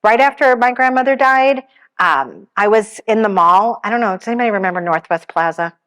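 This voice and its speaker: Gentle woman counseling the grieving. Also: medium pitch, lively intonation, brisk. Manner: gentle, reassuring, shares personal stories; says she has a pretty strong voice. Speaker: grief counselor giving a seminar. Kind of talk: conversational presentation to an audience. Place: funeral home seminar room.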